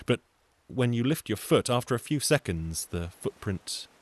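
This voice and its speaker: A man's voice: mid-range voice, lively intonation, normal speed, a little mellow. A man telling a comic folk tale in a theatrical, conversational way, with wry, sardonic asides. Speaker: storyteller, solo show performer.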